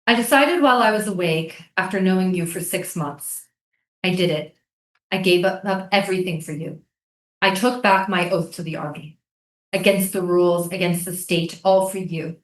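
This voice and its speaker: A woman's voice: on the low side, normal speed, lightly acted. A woman playing an earnest, insistent American soldier and interrogator in a staged reading of a drama. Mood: earnest confession. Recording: roomy, echoey live stage reading.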